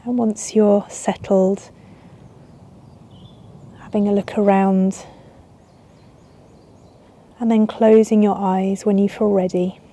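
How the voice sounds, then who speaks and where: A woman yoga teacher guiding a relaxation in a soothing, unhurried way with long pauses. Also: mid-range voice, level tone, dark tone. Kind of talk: guided yoga nidra relaxation. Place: outdoors in a garden. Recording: outdoor ambience with birdsong. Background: birds and garden ambience.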